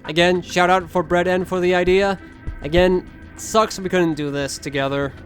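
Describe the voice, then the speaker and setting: A man's voice: high voice, steady tone, normal speed, faint music behind. A young man giving casual commentary as a gaming video creator.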